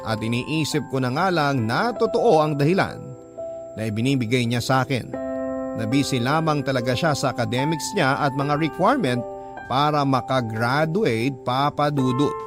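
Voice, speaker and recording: A medium-pitched young Filipino man voiced by an actor narrates his love story for radio. He is confiding, reflective and hopeful in love, with music clearly behind him. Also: close to an everyday voice. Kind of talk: scripted radio narration of a letter.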